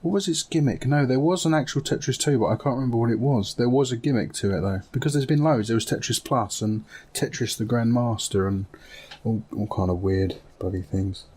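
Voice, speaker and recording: A man's voice: medium pitch, a little fast, darkish tone, a little hiss or hum. A man co-hosting a casual gaming chat in a dry, matter-of-fact way. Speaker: podcast co-host. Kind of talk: casual chat about video games.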